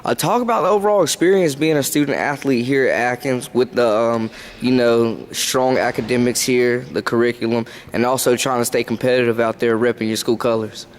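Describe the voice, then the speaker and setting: A man interviewing high school athletes, asking long, loosely built questions. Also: a little fast, few pauses.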